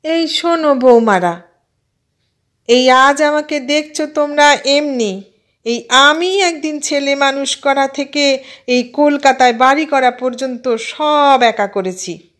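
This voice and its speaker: A woman's voice: high voice, takes it slowly, fairly bright tone, pitched above the performer's own voice. A woman voicing an older housewife in an audio story, a boastful, complaining aunt who scolds and belittles her husband. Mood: boastful, lecturing. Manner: complaining, self-important, emphatic scolding.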